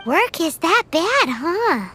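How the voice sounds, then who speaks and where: A woman voicing a tiny, childlike fairy companion in a video game, squeaky, cute and blunt. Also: big pitch swings, slow pace, sharp tone, soft background music, heavily acted. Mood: curious, doubtful. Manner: cute, blunt, refers to self by name. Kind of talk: English game voice acting.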